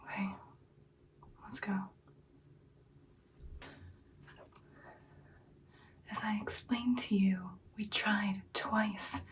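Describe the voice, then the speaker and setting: A woman playing an ancient, caring female vampire in a whispered ASMR roleplay, speaking in soft, breathy, hesitant phrases. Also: mid-range voice, recorded from a distance, slight background noise, lightly acted. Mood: concerned, puzzled.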